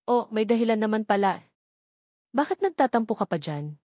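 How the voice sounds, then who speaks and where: A woman's voice: a little high, big pitch swings, moderate pace, dark tone, no room sound, clean studio sound. A woman with a Filipino accent voicing a teasing, attentive male friend in a playful, familiar way. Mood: probing.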